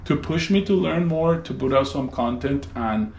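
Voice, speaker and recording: Man, native Spanish speaker, relaxed chatty guest, medium pitch, roomy echo. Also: normal speed, dull audio. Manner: relaxed, chatty, laughs often. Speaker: musician, interview guest. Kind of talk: casual conversational interview. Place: at home. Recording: echoey room with faint noise.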